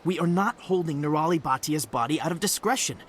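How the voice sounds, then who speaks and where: A man playing an evasive diplomatic bureaucrat in a video game, courteous, formal and measured. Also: on the high side, lively intonation, a bit bright, a little hiss or hum. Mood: apologetic, official.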